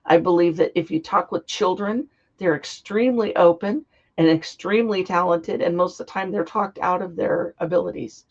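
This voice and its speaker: Woman with a cold, a bit hoarse, gravelly, earnest and heartfelt, kinda dull lo-fi audio. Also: on the low side, normal speed. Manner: earnest, heartfelt, flowing explanations. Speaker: guest psychic. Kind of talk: interview conversation. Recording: dull lo-fi sound.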